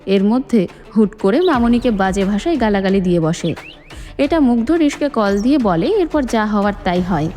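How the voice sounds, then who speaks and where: A woman's voice: medium pitch, animated, moderate pace, mellow, close to an everyday voice. A woman storyteller voicing a cheeky, loyal young male college student who talks in a chatty, familiar way. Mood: explaining. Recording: long reverb, music underneath.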